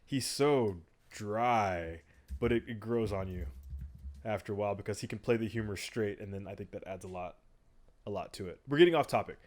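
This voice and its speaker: A man's voice: lowish voice, normal speed. A man co-hosting a podcast in loose, conversational chat, enthusiastic about his favourites. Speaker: podcast co-host. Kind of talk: casual chat about movies.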